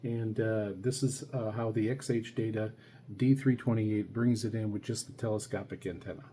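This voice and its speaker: Male hobbyist host, soft-edged mellow tone, halting casual walkthrough, faint noise behind. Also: on the low side, steady tone. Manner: halting, thinking aloud while handling gear. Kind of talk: casual hands-on demonstration.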